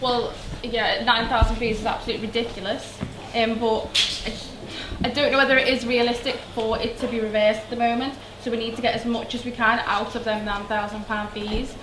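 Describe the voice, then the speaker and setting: A young woman with a Liverpool accent giving an earnest election speech, with many pauses and restarts. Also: a little high, level tone. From Liverpool, England. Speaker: election candidate. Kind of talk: hustings speech. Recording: echoey hall, faint background noise.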